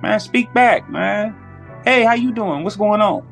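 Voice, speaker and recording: A man's voice: high-pitched, measured pace, gravelly, a bit bright, soft background music. A man with an American accent talking casually as a podcast guest, with plenty of slang and swearing.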